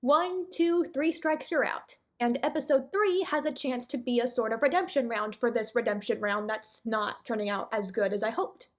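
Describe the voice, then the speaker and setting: A woman reviewer giving casual, opinionated cartoon commentary with animated asides and exclamations. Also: on the high side, normal speed, hardly any pauses. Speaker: video reviewer.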